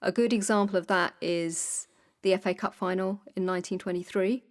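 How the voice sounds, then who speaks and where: A woman's voice: medium pitch, fairly even intonation, normal speed. A woman gives explanatory interview commentary in a documentary. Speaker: interviewed commentator on newsreel history.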